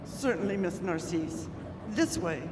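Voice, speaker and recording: A woman's voice: lowish voice, animated, a little slow. A woman playing a composed, courteous minister's wife in an old-time radio drama, speaking with polite poise. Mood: polite, concerned. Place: live stage staged as a radio studio. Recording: reverberant hall with clear background noise.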